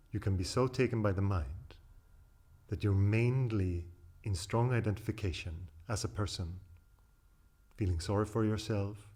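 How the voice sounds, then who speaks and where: A man with a deep, breathy and slightly husky voice guides a meditation. He delivers each instruction calmly and deliberately, leaving long pauses between phrases. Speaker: meditation teacher.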